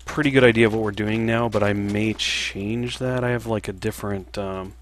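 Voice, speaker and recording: A man in his thirties chatting casually on a livestream while he plays, a game developer answering viewer questions in a relaxed, halting way with many fillers. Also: lowish voice, slight background noise. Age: 30s.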